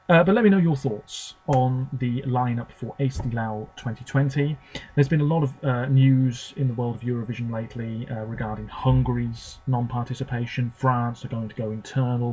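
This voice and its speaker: A man's voice: medium pitch, a little mellow, a little hiss or hum, low-quality recording. Man giving hesitant song reactions. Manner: hesitant, short reactions between clips. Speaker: video host reviewing contest songs. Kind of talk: casual off-the-cuff commentary.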